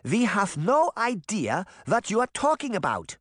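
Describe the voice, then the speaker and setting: A man's voice: sits high, wildly swooping pitch, unhurried, a bit bright, dry recording, clean studio sound, pitched above the performer's own voice, full character voice. A man voicing a firm, protective old grandmother in a storybook, speaking in an insistent, plain way. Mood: firm, indignant.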